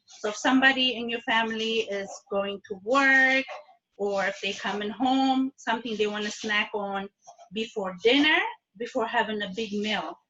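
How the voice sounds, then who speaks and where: A woman's voice: a little high, moderate pace, sharp tone, reverberant, lo-fi sound. A woman from Ethiopia and Eritrea teaching a live online cooking class from her kitchen, friendly and patient, often checking in with her students. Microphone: video call.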